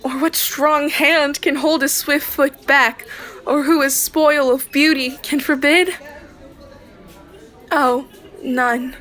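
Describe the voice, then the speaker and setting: A woman's voice: high-pitched, steady tone, slow pace, pauses often, fairly bright tone. A young woman delivering a Shakespeare sonnet as a dramatic monologue. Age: young adult. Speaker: student drama performer.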